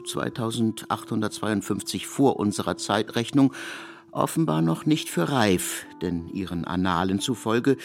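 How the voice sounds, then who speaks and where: Deep-voiced man, animated literary narrator. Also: creaky. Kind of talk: scripted literary narration for a radio production. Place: studio.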